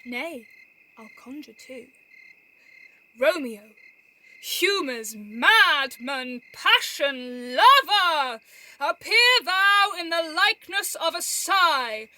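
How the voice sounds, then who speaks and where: An actress playing a mocking, bawdy, theatrical young friend in an amateur Shakespeare audio drama, recorded at home on a phone. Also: female voice, sits high, expressive, drawn-out words, pushed and bright, full character voice, low-quality recording. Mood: mocking, teasing.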